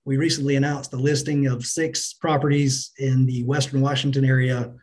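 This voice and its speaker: A middle-aged man answering business interview questions as a company finance chief, in a measured, businesslike manner. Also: medium pitch, little pitch movement, darkish tone. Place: indoors on a remote video call.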